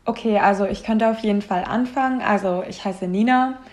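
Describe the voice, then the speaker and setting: A twenty-one-year-old woman takes her turn on a friends' podcast. Her speech is bubbly and enthusiastic, her pitch average. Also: fairly quick, a little mellow, roomy sound. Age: 20s. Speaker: guest. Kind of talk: casual chat.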